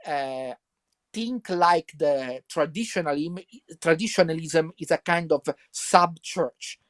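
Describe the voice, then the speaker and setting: A man from Italy with an Italian accent, speaking English as a second language and answering interview questions haltingly, with many repeats and fillers. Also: a little high, fairly bright tone, no room sound, clean studio sound. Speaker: guest author.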